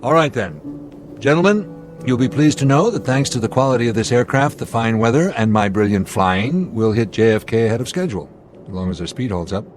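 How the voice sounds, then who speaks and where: A man's voice: mid-range voice, expressive, a little fast, faint music behind, natural voice. An actor playing a confident male airline captain in a radio drama, speaking with clipped authority. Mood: jovial, assured.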